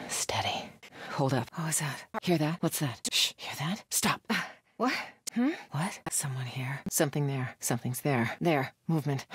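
A woman's voice: a bass voice, breathy edge, no room sound, studio quality, heavily acted. A woman voicing a wary, fierce female cult soldier in a video game, in short, urgent barks. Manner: short, urgent, terse phrases.

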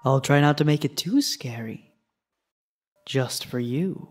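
A man playing a soothing, faintly eerie bedtime storyteller, gentle and coaxing, in darkly comedic audio theatre. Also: mid-range voice, animated, slow. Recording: loud music over the voice.

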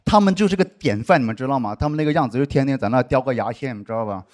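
A man's voice: mid-range voice, lively intonation, racing, darkish tone, a little hiss or hum. A young man doing a self-mocking stand-up set on a big studio stage, with a handheld mic and chatty asides to the crowd. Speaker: stand-up comedian. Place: large studio stage before an audience. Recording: live stage mic with audience laughter.

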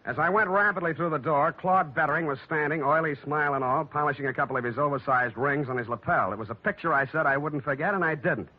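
An actor playing a wry, unflappable hard-boiled private detective, deadpan and wisecracking, in 1940s radio style. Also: male voice, fairly high pitch, fast, hardly any pauses, natural voice. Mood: wry narration. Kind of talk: 1940s radio acting with first-person narration. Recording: dull vintage radio recording.

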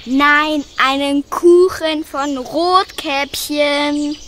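A woman's voice: high voice, fairly even intonation, very slow, fairly bright tone, slight background noise, heavily acted. A woman voicing a polite, eager young boy in a children's radio play. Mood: friendly.

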